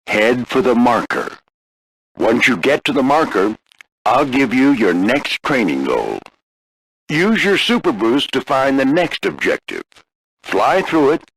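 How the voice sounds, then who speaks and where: An older American man voicing a gruff veteran warplane flight instructor in a video game, husky and folksy, coaching firmly but with encouragement. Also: mid-range voice, a bit bright, dry recording, full character voice, poor audio. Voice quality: gravelly, husky. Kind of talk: scripted video game character lines.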